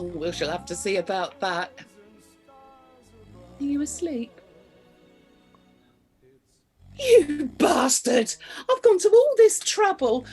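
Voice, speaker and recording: A woman playing a cheeky, frisky middle-aged British wife in a radio comedy play, expressive and suggestive. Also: sits high, slightly rough, full character voice. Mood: furious.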